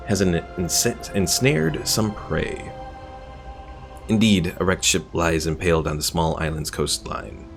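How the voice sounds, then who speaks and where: Low, creaky-voiced man streaming games. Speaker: livestream gaming host. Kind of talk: casual game livestream commentary with chat. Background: game music.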